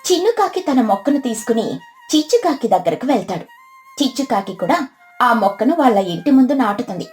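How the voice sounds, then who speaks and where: Higher-voiced woman narrating a children's story. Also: very animated, fairly quick, a little mellow, soft background music.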